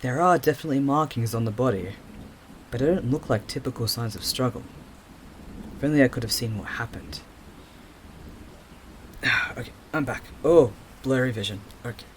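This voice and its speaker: A man playing a restless, overthinking investigator with magical sight in an audio drama, muttering haltingly to himself. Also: medium pitch, slight background noise, natural voice. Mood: concentrating.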